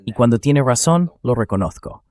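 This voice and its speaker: A man's voice: mid-range voice, lively intonation, normal speed, darkish tone. A man's synthetic AI voice reading a translated political commentary as a voice-over.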